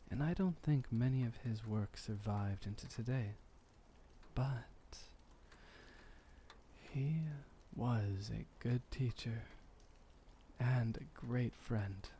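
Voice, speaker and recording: A man playing a gentle, doting, centuries-old vampire boyfriend, speaking softly and tenderly with slightly old-fashioned formality in an intimate ASMR roleplay. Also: medium pitch, slight background noise. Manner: soft, unhurried, tender, lightly formal old-fashioned phrasing.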